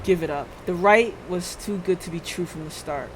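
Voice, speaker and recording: A game streamer voicing a calm, world-weary police boss in a quiet, measured, dry manner. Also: medium pitch, noisy background, voice pushed down, lightly acted. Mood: stern.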